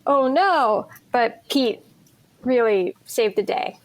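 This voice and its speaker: A woman's voice: on the high side, expressive, slow. A woman speaking informally as a cast member in a group podcast interview. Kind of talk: casual panel chat.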